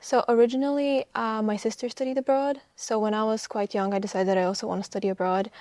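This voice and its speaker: A woman's voice: fairly high pitch, fairly even intonation, quick pace, a little mellow, dry recording. A young woman from Bulgaria, a university student, answering interview questions in a casual, earnest and upbeat way. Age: young adult. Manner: earnest, upbeat, uses fillers like "like" and "I would say".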